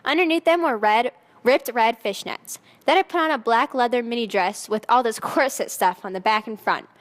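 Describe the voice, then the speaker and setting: A woman's voice: fairly high pitch, normal speed, natural voice. A woman voicing a haughty, melodramatic seventeen-year-old goth vampire girl in a dramatic fan fiction reading, with emphatic teenage delivery. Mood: self-absorbed narrating. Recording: echoey hall with long reverb.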